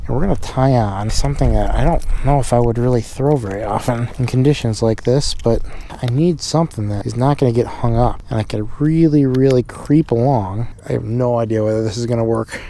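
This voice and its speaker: Guy in his thirties narrating his fishing on a cold windy shore; chatty, mid-pitched, light wind noise. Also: a little fast, gravelly, dark tone. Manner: chatty, talks to camera while fishing. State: cold, runny nose. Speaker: fishing vlogger. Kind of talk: casual outdoor narration. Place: windy pond shore outdoors. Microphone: action camera on chest harness.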